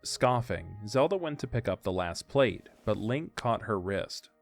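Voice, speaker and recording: A man narrates a scripted story. His voice sits fairly low. Music runs behind the narration. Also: expressive, a little slow, gravelly.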